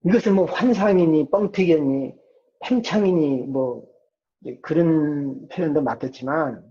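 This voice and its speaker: Muffled, mellow-voiced man giving a lecture. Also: a little high. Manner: asks and answers own rhetorical questions. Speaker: psychology professor lecturing. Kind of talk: informal explanatory lecture. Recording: dull, muffled lo-fi lecture recording.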